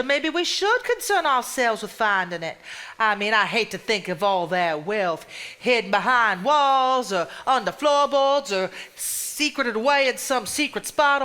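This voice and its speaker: An actress playing a fretful, proud and defensive married sister in a 1950s stage drama. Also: female voice, fairly high pitch, animated, measured pace, sharp tone. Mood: musing. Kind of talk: live stage acting.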